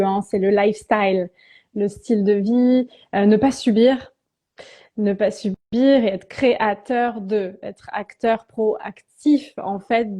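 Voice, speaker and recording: This is a woman in her thirties with a warm, mellow voice, speaking as a guest coach in a live-stream chat. She thinks aloud, stopping and restarting her phrases as she goes. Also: mid-range voice. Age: 30s. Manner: reflective, halting, many restarts and fillers. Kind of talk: casual live-stream conversation.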